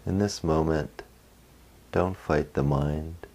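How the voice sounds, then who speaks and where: A man leading a guided meditation as a guide, speaking gently in short phrases with long pauses. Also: very deep voice, steady tone.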